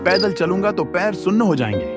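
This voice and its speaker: A man's voice: high voice, sing-song, brisk, loud music behind the voice. A man playing a chatty young office-going friend who complains casually in a cartoon story. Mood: chummy complaining.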